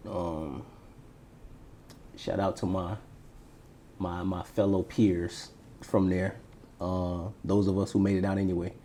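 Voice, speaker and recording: Low mellow florida guy on a podcast, laid back, telling his own stories. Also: flat delivery, slow, pauses often, slight background noise. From Tampa, Florida, United States. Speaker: podcast co-host being interviewed. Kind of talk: casual personal storytelling.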